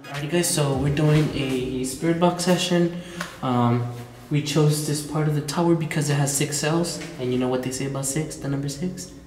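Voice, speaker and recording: A young man, hosting a casual vlog and telling rambling, joking stories while filming himself in a dark abandoned prison. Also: a little high, steady tone, normal speed. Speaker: vlog host. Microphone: handheld camera at arm's length. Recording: echoey concrete halls with music.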